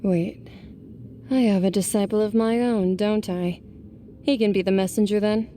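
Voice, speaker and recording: A world-weary warrior woman from a video game, played by an actress, with a dark, mellow, mid-pitched voice. She is calm, enigmatic and detached, over faint background noise. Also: normal speed, natural voice. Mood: lightly musing. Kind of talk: video game character acting.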